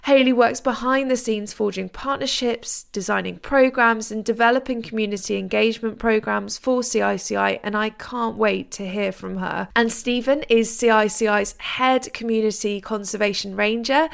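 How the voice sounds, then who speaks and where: A woman's voice: on the high side, steady tone, moderate pace, vocal fry, hardly any pauses. A woman hosting a podcast, introducing guests and asking polite, formal questions with enthusiasm. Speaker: podcast host. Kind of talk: podcast interview.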